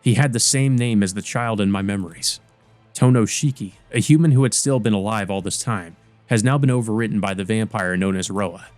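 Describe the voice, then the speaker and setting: A man's voice: on the low side, fairly quick, darkish tone, no echo, soft background music, natural voice. A man playing a weary, wounded teenage boy who narrates his own visual novel story in the first person. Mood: grave.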